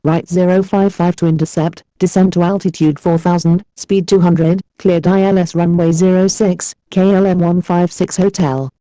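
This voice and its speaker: A woman's voice: lowish voice, level tone, moderate pace, few pauses, warm tone, no room sound. A woman speaking clipped aviation phraseology over an air traffic radio transmission. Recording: dull, band-limited air traffic radio.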